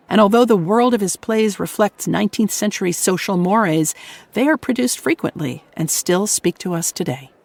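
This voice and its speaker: A woman's voice: medium pitch, vocal fry, darkish tone, no room sound. A woman hosting a radio program, reading a scripted introduction to short fiction in a polished narrating style. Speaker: radio show host.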